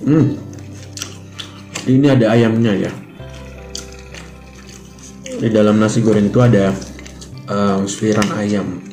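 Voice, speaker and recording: A man's voice: fairly low pitch, steady tone, takes it slowly, long pauses. A man in his thirties chatting casually as he unboxes and tastes hotel breakfast food, recorded by a camera at the table in a small hotel room. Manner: chatty, describes food while eating. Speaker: food vlogger. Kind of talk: casual food review vlog. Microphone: camera at table distance. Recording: echoey room with music behind. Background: background music.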